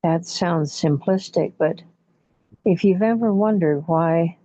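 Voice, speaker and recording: A woman with a low, mellow voice leads a guided imagery session. She speaks very slowly and soothingly in short phrases, with long pauses between them. The recording is dull and somewhat muffled. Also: no echo. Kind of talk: guided relaxation meditation.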